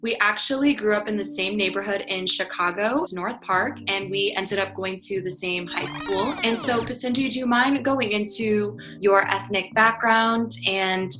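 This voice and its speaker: A woman's voice: mid-range voice, normal speed, hardly any pauses, over music, low-quality recording. A woman hosting an interview, asking questions in a friendly, conversational way. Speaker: interview host. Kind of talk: casual interview.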